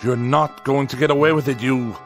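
An actor playing a haughty, paranoid middle-aged writer in a radio drama, with florid, sarcastic speech. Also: male voice, medium pitch, steady tone, a little fast, natural voice. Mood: defiant, furious. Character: pompous, paranoid, irascible middle-aged male writer who hates machines. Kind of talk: theatrical radio drama acting. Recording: studio radio drama with music behind.

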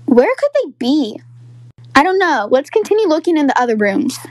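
A young woman acting out a roleplay story with characters, in a dramatic, expressive manner. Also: high voice, moderate pace, no echo, clean studio sound. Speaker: storyteller voicing all roles. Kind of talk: acted roleplay of animated toy-game characters.

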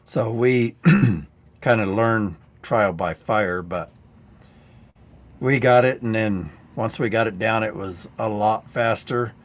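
Hoarse older man teaching DIY live. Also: lowish voice, slow. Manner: dry-humored, rambling how-to talk. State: getting hoarse. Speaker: DIY channel host. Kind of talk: live-stream teaching chat. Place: indoor studio set. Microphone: headset.

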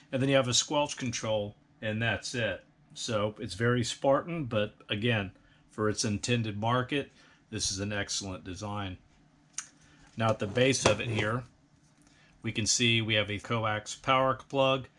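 A middle-aged American man narrating an instructional bench review in a measured, precise technical manner. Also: fairly low pitch, a bit bright. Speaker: host, radio equipment reviewer. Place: indoor electronics workbench.

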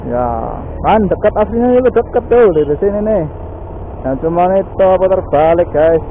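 A man's voice: high voice, expressive, moderate pace. A man chatting casually while riding a motorbike through city streets, filling his talk with "ya" and "guys". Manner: chatty, lots of "ya" and "guys" fillers. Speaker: motovlog host. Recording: tinny, phone-like with faint traffic noise.

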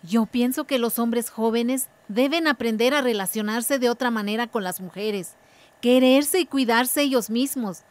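A woman's voice: fairly high pitch, a little slow, a little hiss or hum, close to an everyday voice. A woman playing a determined, earnest village woman speaking up in a radio drama. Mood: firm, reflective. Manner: earnest, declarative.

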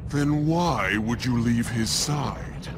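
A man's voice: medium pitch, takes it slowly, background noise. A man voicing an arrogant alien overlord in a video game, imperious, theatrical and menacing. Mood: cold disdain. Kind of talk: video game villain acting.

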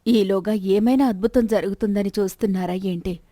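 A woman's voice: mid-range voice, brisk, dark tone, dry recording, natural voice. A woman voicing a persistent, practical middle-aged wife of a rich merchant in a moral story, chiding and persuading. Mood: chiding, persuasive.

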